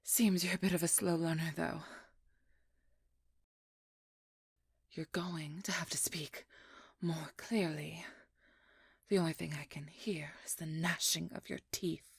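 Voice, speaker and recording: A woman playing a determined, wounded vampire hunter in an ASMR roleplay, with a breathy, husky voice that often drops near a whisper and an intimate, intense delivery. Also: low-pitched, fairly even intonation, normal speed, no echo, studio quality, heavily acted. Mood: wary, guarded. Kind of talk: ASMR roleplay audio drama.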